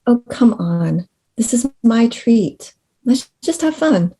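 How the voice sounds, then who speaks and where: A woman's voice: a little high, animated, slow pace, warm tone, breathy edge, heavily acted. A woman playing an entitled, demanding rich client of an escort, blunt and matter-of-fact, in a table read. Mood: impatient.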